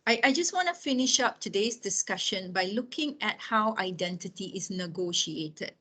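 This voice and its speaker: A woman's voice: mid-range voice, expressive, normal speed, pushed and bright. A woman in her fifties giving an informal lecture with personal anecdotes, talking conversationally and often checking in with her listeners. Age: 50s.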